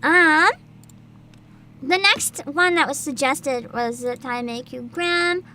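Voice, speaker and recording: A woman hosting a casual livestream chat, giggly, excitable and expressive, with music behind her. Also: high voice, takes it slowly, a little hiss or hum. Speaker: livestream host, VTuber.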